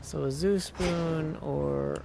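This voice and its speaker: A man's voice: fairly high pitch, fairly even intonation, slow pace, gravelly. A man streaming a game, casually musing and deliberating aloud as he plays. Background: music.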